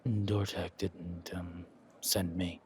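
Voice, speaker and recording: A man performs a reserved male chauffeur for an audio drama. The voice is low and hushed, with polite, formal, halting delivery and a dry sound. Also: little pitch movement, husky, no echo, full character voice. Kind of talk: audio roleplay acting.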